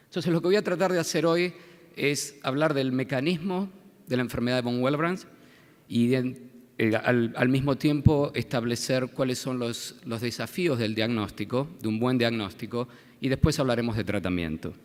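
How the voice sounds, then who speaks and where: A middle-aged man with an Argentine accent giving a medical conference lecture, halting with many fillers and restarts. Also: mid-range voice, darkish tone. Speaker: visiting hematologist speaker. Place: conference hall. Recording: long hall reverb.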